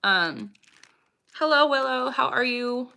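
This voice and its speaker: A woman's voice: high voice, expressive, slow, creaky, pushed and bright. A young woman in her twenties hosting a casual livestream Q&A. She reads viewer questions aloud and greets people by name, with frequent vocal tics and bursts of laughter. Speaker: livestream host.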